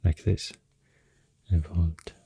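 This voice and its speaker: A man with a Swedish accent softly rambling through an ASMR explanation, breathy and often near a whisper, soothing and hesitant in manner. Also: low voice, fairly even intonation, extremely slow, no echo. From Sweden. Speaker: ASMR host.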